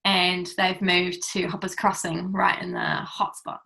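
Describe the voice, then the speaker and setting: A woman's voice: on the low side, little pitch movement, a little slow, gravelly, pushed and bright, rough recording. A woman hosting a casual interview, chatting in an engaged way with frequent affirming murmurs. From born in New Zealand, raised in Europe. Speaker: podcast host.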